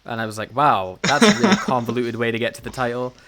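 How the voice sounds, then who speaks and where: A man with a medium-pitched voice talks casually as a podcast co-host. He is wry and jokey as he gives his opinions. Laughter comes easily to him. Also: big pitch swings, quick pace, a bit bright. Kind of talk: casual chat reviewing audio dramas.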